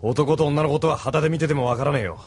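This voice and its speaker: An actor playing a blunt, rough-spoken male pilot giving a friend frank advice. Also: a little high, quick pace. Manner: blunt, rough casual speech. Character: rough-talking adult male pilot comrade, blunt and worldly.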